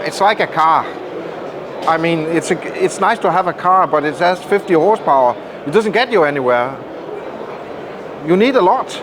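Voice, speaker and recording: Male product maker, somewhat high pitch, emphatic technical explainer, loud show-floor noise behind. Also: animated, normal speed. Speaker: loudspeaker maker, interview guest. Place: indoor audio trade show room.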